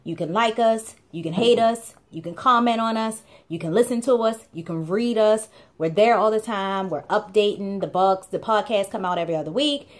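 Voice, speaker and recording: A woman's voice: mid-range voice, normal speed, few pauses. Chatty woman vlogging to camera. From Baltimore, USA. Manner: chatty, playful, emphatic repetition. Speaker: blog co-host. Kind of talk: casual talking-to-camera vlog. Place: small indoor room.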